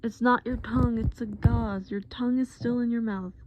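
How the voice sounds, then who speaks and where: A woman playing a gentle, patient boyfriend in acted-out roleplay, coaxing with pet names and simple, repeated reassurances. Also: on the high side, fairly even intonation, normal speed, highs cut off, noisy background, natural voice. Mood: coaxing, worried. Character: gentle, patient boyfriend soothing a groggy partner.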